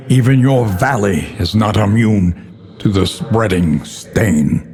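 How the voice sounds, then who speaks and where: Gothic game narrator: older haunted scholar, ominous and solemn, very unhurried, heavy reverb over faint noise. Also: male voice, lowish voice, darkish tone. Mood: grave warning. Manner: ominous, solemn, theatrical, ornate phrasing. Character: grim, learned older male narrator, brooding and haunted.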